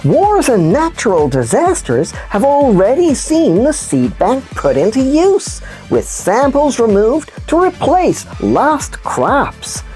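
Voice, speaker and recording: Woman as dramatic puppet presenter, high-pitched, unhurried, playful, kids' news show. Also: huge pitch swings, dark tone, faint music behind, full character voice. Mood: upbeat, informative. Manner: exaggerated, playful, theatrical. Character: excitable, dramatic puppet news presenter who whines comically. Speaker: host.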